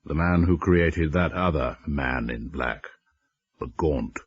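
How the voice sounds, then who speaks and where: Very deep, sinister radio storyteller. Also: male voice, breathy edge, no room sound, muffled recording, exaggerated voice. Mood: macabre relish. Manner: ominous, deliberate, theatrical. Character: sinister, macabre male storyteller host. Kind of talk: scripted radio horror narration.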